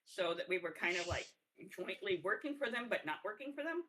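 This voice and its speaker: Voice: mid-range voice, sing-song, a little fast, sharp tone, far from the mic, slight background noise, rough recording. A player in their fifties chatting casually and animatedly at a tabletop game. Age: 50s.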